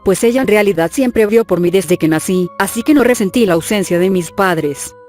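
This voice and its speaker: A narrator giving a steady, measured reading of a scripted horror story. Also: medium pitch. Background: faint music.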